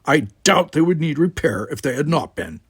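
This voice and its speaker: A man's voice: fairly high pitch, big pitch swings, moderate pace, husky, dry recording, clean studio sound, pitched above the performer's own voice, heavily acted. A man voicing a stern, commanding young Klingon warrior cadet in an audiobook, formal and sarcastic in manner. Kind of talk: audiobook character voice.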